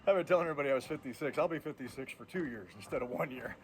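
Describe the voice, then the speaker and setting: A man's voice: mid-range voice, rapid-fire. A man in his fifties talks straight to his viewers in a blunt, plainspoken way. He chats casually while walking on a treadmill. A faint hum sits behind his voice. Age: 50s. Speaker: truck driver and vlogger. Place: indoors on a treadmill.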